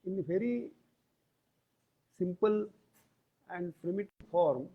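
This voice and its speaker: A man giving an explanatory lecture, deliberate and pausing between short phrases. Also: high voice, expressive. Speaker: yoga lecturer. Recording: tinny, phone-like band-limited audio.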